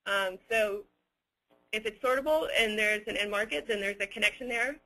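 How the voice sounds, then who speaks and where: A man answering audience questions as a webinar presenter, unscripted, hesitant and halting as he picks his words with care. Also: high voice, bright, forceful tone, low-quality recording.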